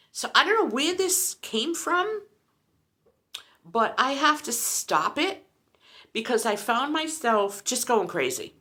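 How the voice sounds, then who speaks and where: Woman, sixties, vlogger, casual rambling chat, expressive swooping pitch. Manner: chatty, rambling storyteller. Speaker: lifestyle vlogger.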